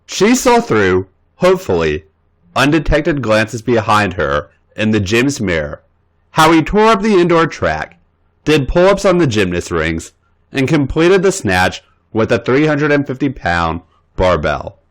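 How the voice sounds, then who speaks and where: A man's voice: mid-range voice, wildly swooping pitch, a little slow. A man reading erotic fan fiction aloud as a podcast host, playful and theatrical.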